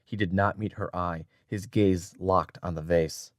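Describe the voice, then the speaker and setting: Deep-voiced male audiobook narrator. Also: steady tone, a little slow, dry recording, studio quality. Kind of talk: scripted dramatic narration.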